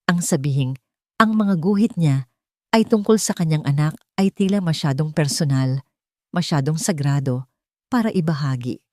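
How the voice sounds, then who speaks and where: A woman's voice: low-pitched, moderate pace, darkish tone, no room sound, studio quality. A woman reading a scripted story as an audiobook narrator.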